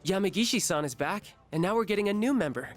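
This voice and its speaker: Earnest teen club leader, lively up-and-down delivery, music under. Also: male voice, high voice, fast talker. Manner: earnest, hesitant, then fussy and caring. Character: earnest teenage male art club leader, fussy.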